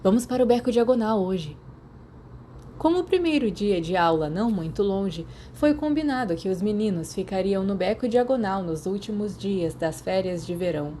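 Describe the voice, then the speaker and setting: A woman's voice: mid-range voice, lively intonation, normal speed, hardly any pauses, mellow. A woman with a Brazilian accent reading a story as scripted audiobook narration. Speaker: podfic narrator. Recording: clear background noise.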